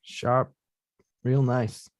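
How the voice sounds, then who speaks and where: A man's voice: mid-range voice, level tone, very slow, no room sound. Humble young male boxer, plainspoken, read by a man, dry sound. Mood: easygoing. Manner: plainspoken, laid-back. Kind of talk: live online table read.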